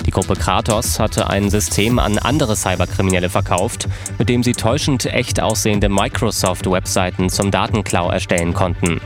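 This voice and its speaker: A man reading a radio news bulletin in a steady, even way. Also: on the low side, normal speed, hardly any pauses. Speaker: news presenter. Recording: music bed underneath.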